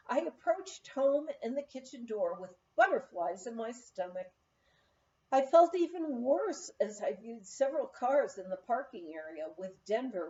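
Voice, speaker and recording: A woman narrates a memoir in a high, lively voice that swings with the story. Her delivery is expressive and unhurried, with a soft, mellow tone. The recording is a little dull and lo-fi. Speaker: audiobook reader. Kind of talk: scripted narration of a humorous memoir.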